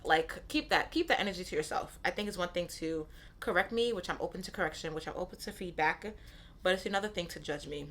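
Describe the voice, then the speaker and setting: Solo podcast host, thirties, woman, lowish voice, frank and chatty, loops back on her phrases, slight hiss in the recording. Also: animated, fast talker, a bit bright. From born in Nigeria, raised in Brooklyn, New York. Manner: candid, repeats phrases, frequent "right?" asides. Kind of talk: casual solo podcast talk.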